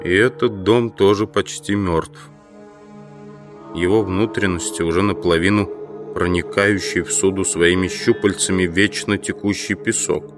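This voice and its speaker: An actor playing a questioning, brooding trapped scientist in an audio drama. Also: male voice, sits low, steady tone, measured pace, music in the background. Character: puzzled, brooding entomologist trapped in a sand pit.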